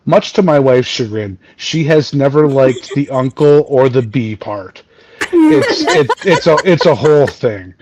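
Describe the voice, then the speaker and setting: A Canadian man in his forties with a booming voice, telling his story in a rambling way as a podcast guest. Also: very animated, a little slow, darkish tone, low-quality recording. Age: 40s. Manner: rambling, many fillers and restarts. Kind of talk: casual storytelling chat. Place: home. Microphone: remote video call.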